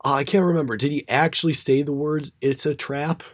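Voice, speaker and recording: Hoarse man recapping episodes, halting. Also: fairly high pitch, fairly even intonation, no room sound, studio quality. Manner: halting, many fillers and restarts. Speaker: podcast co-host. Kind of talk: casual chat recapping episodes.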